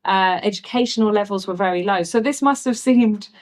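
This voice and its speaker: A woman hosting an interview, laying out background before each measured question. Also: mid-range voice. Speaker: interviewer, host.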